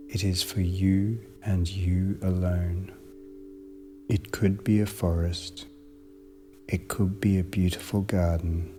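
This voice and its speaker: Deep-voiced, very slow meditation guide, a man. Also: a little mellow, a little hiss or hum. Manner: measured, soothing, long pauses between short phrases.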